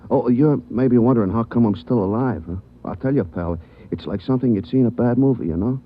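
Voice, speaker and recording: A man's voice: medium pitch, brisk, phone-quality sound, lightly acted. An actor playing a wounded, streetwise male taxi driver in a 1940s radio drama. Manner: streetwise, chatty, says "you know". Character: tough talking male cab driver, street-wise. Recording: old radio broadcast, band-limited, hiss.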